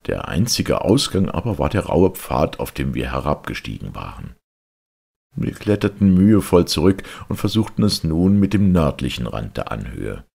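A man reading a literary audiobook as a narrator, with measured, dramatic storytelling delivery. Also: rumbling low, sing-song, creaky, mellow, husky. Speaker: audiobook reader.

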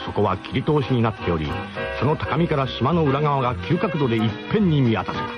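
A man's voice: mid-range voice, lively intonation, fast talker, lo-fi sound, loud music behind the voice. A man narrating a radio drama as a first-person comic story, in a mock-grand, theatrical way.

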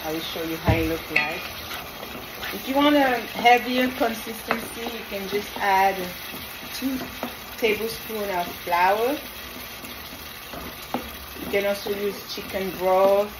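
Woman, middle-aged, French West African accent, slow encouraging cooking-vlog delivery, heavy frying noise behind her. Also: medium pitch. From Senegal, grew up in the south of France. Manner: encouraging, addresses viewers affectionately. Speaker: vlogger presenting a home cooking demonstration. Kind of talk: casual instructional cooking vlog. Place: small home kitchen, indoors. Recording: loud sizzling and stirring kitchen noise. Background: frying, sizzling, stirring.

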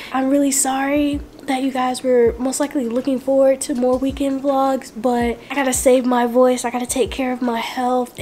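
Hoarse, chatty young woman vlogging. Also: sits high, a little mellow, a little hiss or hum. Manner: chatty, drawn-out filler words. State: hoarse, losing her voice. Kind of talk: casual chat to camera.

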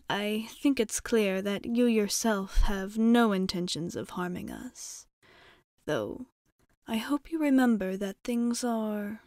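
A woman playing a courteous, guarded vampire bartender in an ASMR roleplay, speaking in soft, measured, formal phrases. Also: medium pitch, no room sound, clean studio sound. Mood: delicately curious. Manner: polite, measured, formal, often dropping into near-whisper.

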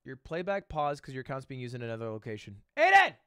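A man in his twenties chatting casually with viewers on a live stream, animated and swearing freely. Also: medium pitch, quick pace, clear, resonant tone, no room sound, clean studio sound. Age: 20s.

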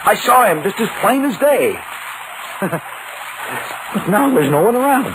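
A man's voice: high voice, very animated, unhurried. An actor playing a practical, skeptical man in an old radio drama, talking emphatically. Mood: practical, puzzled. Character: practical, skeptical man dismissing strange sightings. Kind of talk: 1950s radio drama acting. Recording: noisy old radio recording.

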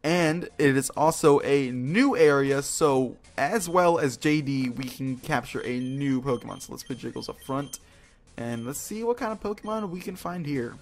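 A man's voice: medium pitch, normal speed. A man hosting a video game playthrough with casual, rambling, playful commentary, slangy and often repeating his phrases.

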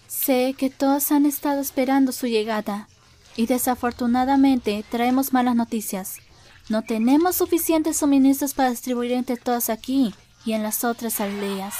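Woman as a bright, composed animal envoy. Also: high-pitched, natural voice. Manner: formal, measured, diplomatic. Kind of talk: animated fan dub.

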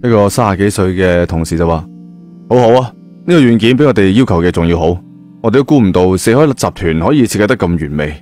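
A man's voice: deep voice, expressive, fast talker, a little mellow. A man with a Cantonese accent narrating a novel in a colloquial, conversational storytelling style. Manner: conversational, with frequent spoken particles. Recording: music bed behind the voice. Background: background music.

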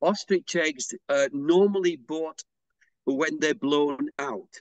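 Soft-spoken man explaining a craft. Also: a little high, takes it slowly, no room sound, rough recording. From England. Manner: hesitant, with fillers and restarts. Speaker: pysanky artist and interviewee. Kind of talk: unhurried explanatory interview talk.

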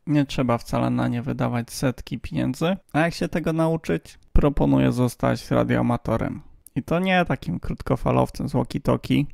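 Very creaky-voiced man explaining casually. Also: mid-range voice, a little mellow, dry recording, clean studio sound. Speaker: hobbyist radio video host.

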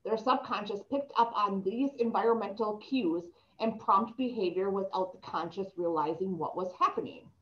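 Young woman, slight lisp, mid-pitched voice, reading slides aloud, laptop mic on a video call, somewhat dull sound. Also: normal speed, hardly any pauses. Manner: reads aloud with stumbles and restarts. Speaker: presenter reading an article aloud.

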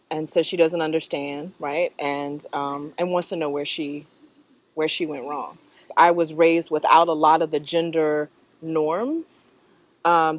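A middle-aged American woman answering interview questions reflectively, in a thoughtful, halting manner that often checks in with the listener. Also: low voice, dry recording. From North Carolina, US. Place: outdoors in a leafy park.

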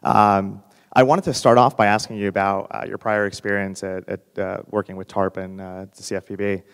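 A man moderating a fireside chat on stage, polite and halting with many uhs. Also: on the low side, level tone, smooth. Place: large hall on stage, seated. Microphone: handheld mic. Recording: hall reverb.

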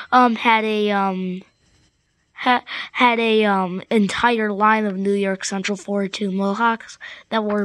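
A female storyteller with a halting, stammering delivery, improvising a tale for a train cartoon. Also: on the high side, fairly bright tone, no room sound. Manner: halting, stammering, repeats and restarts words. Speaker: storyteller and host of a hobby cartoon series.

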